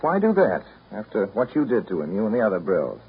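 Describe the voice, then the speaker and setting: A man's voice: fairly low pitch, huge pitch swings, fairly quick, husky, exaggerated voice. An actor playing a terse, wry, patiently irritated man in 1930s radio drama style. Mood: dry, irritated. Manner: terse, dry. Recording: dull 1930s radio recording.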